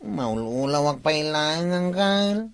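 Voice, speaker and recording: A man's voice: fairly high pitch, animated, slow, clean tone. A man with an Ilocano accent playing a drunken young watchman in a radio comedy drama, slurring and dragging his words. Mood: groggy, sulky. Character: drunken young nephew, carefree village watchman and drinker.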